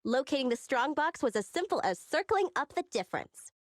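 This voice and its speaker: High-pitched sly young boss lady. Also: big pitch swings, a little fast, dry recording, clean studio sound. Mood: smug, confident. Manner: breezy, boastful, bossy. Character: sly, self-assured young woman boss of a crew. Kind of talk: anime-style video game acting.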